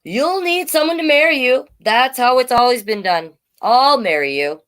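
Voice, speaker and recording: A woman's voice: sits high, animated, normal speed, fairly bright tone, pitched above the performer's own voice. A woman voicing a fussy, self-assured cricket who instructs emphatically in a children's storybook. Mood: insistent. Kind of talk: children's storybook read-aloud.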